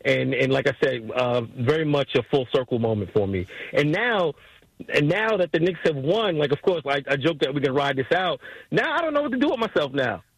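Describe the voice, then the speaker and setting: American guy from brooklyn, bit high husky voice, excited casual sports chat, repeats himself a lot. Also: a little fast, no echo, poor audio. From Brooklyn, New York. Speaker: radio producer, guest sports fan.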